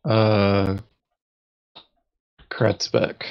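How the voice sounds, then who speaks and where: A man thinking aloud haltingly as he plays a tabletop game in casual chat. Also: fairly low pitch, completely flat delivery, clear, resonant tone. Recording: dull lo-fi voice chat audio.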